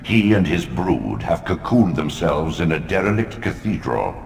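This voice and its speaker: Very deep, echoing elder god. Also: male voice, sing-song, moderate pace, vocal fry, a little hiss or hum, heavily acted. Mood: ominous, instructive. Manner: solemn, oracular, commanding. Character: ancient, commanding elder god, solemn and omniscient. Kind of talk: portentous video game cutscene acting. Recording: echoing voice under loud music.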